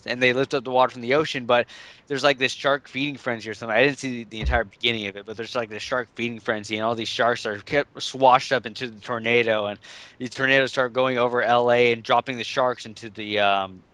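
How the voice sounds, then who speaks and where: A man with a mid-range voice chats quickly and informally as a co-host, rambling as he goes. The sound is dry, with no room echo. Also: medium pitch, fairly even intonation, fairly bright tone. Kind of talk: casual chat.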